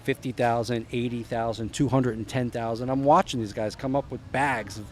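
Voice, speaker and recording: A middle-aged man from New Jersey talks as a podcast guest, wandering through stories and piling up names with plenty of pauses. His voice sits at an ordinary pitch. A faint hum of city ambience runs underneath. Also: steady tone, darkish tone. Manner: rambling storyteller, drops names, trails off with "uh". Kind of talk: casual chat.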